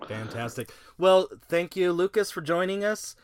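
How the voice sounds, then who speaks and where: A man's voice: high-pitched, expressive, moderate pace, a little hiss or hum. A man hosting a podcast, talking in a rambling, conversational way through sign-offs and plugs. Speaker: podcast host.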